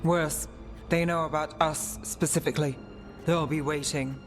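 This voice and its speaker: Male game companion, acted: lighter, higher register, gravely serious, foreboding, long hall reverb. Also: fairly even intonation, measured pace, over music, natural voice. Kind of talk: cinematic video game voice acting.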